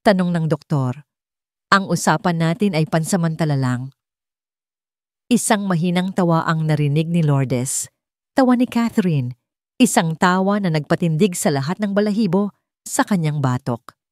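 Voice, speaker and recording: A woman's voice: on the low side, lively intonation, moderate pace, warm tone, no echo, studio quality. A woman narrating a scripted story in a dramatic storytelling style.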